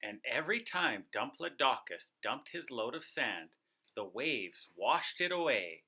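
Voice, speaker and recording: A man narrating a children's storybook aloud in an expressive, dramatic storytelling style. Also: medium pitch, unhurried. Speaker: co-reader of a children's read-aloud.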